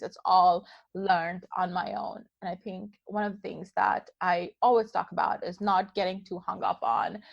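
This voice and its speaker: A woman from Pakistan, talking as an interview guest in a lively, thinking-aloud way with fillers. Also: medium pitch, no room sound. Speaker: guest, tech founder. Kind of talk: casual interview talk. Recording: slightly dull lo-fi sound.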